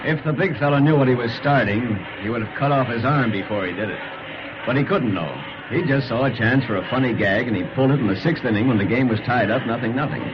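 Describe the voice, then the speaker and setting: An actor playing an enthusiastic sports reporter who narrates in an earnest, punchy old-time radio style with an American accent. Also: male voice, mid-range voice, fast, close to an everyday voice. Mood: dramatic storytelling. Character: enthusiastic male sports reporter, the ballplayer's pal. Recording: muffled, hissy old radio recording.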